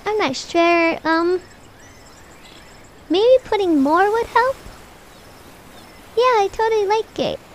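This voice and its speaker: A woman playing the stubborn, dramatic little daughter in a homemade cartoon family roleplay video, very shrill and slow, faint noise behind her. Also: expressive, exaggerated voice. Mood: excited. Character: sassy, stubborn young daughter who loves makeup. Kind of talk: amateur voiced family roleplay.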